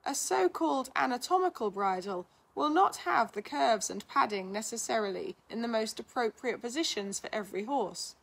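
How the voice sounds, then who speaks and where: A woman reading a scripted article aloud in a measured, instructional manner. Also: fairly high pitch, lively intonation, vocal fry, husky, low-quality recording. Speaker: reader of a blog, physiotherapist.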